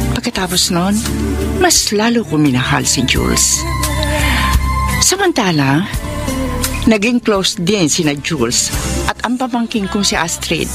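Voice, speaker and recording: Expressive older Filipina confiding over music. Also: female voice, on the low side, heavily acted. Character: older woman in love, confessing her affair by letter. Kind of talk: dramatic first-person radio drama narration.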